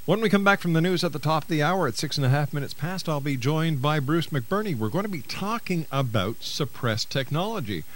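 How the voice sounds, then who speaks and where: A man's voice: fairly high pitch, lively intonation, brisk, hardly any pauses, poor audio. A man hosting a radio show, interviewing a guest and reading out announcements. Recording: hissy radio broadcast.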